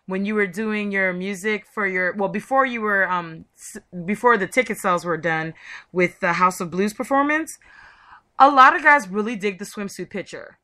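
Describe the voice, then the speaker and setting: Female interview host, bright and punchy, effusive promotional chat. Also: mid-range voice, moderate pace. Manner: effusive, gushing. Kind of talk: chatty promotional interview hosting.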